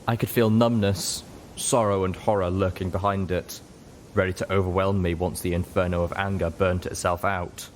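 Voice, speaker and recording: Low-voiced man narrating a grave story. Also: level tone, normal speed, a little hiss or hum. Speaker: audiobook narrator. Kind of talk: dramatic first-person story narration.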